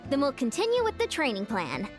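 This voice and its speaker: A woman voicing an enthusiastic, encouraging sports coach in a game, who talks in an energetic, bossy-friendly way. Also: sits high, brisk. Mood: upbeat. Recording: game audio with faint music.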